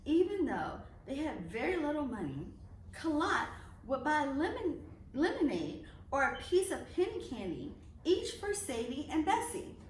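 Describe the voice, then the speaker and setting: A woman with a high, very lively voice reads a children's storybook aloud. Her delivery is expressive, in the manner of a storyteller. She sounds some distance from the microphone in an echoey room. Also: measured pace. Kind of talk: read-aloud of a children's picture book. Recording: echoey room, distant mic, faint noise.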